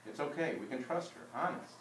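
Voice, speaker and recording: A man's voice: medium pitch, expressive, fairly quick, fairly bright tone, pitched above the performer's own voice, natural voice. A man reading as a coaxing peacemaker girl, echoey. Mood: reassuring. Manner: coaxing, earnest. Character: protective, reassuring girl, peacemaker between friends. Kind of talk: storybook read-aloud. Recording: roomy distant mic with background noise.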